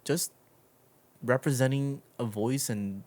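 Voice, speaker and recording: A man's voice: mid-range voice, slow pace, darkish tone. Man hosting a casual, rambling podcast. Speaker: podcast host.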